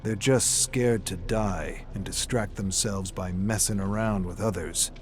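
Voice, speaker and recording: A man's voice: sits low, steady tone, a little slow. A man playing a grizzled, cynical older war veteran, narrating a dramatic story in folksy tough-guy slang. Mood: gruff, irritated. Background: loud music.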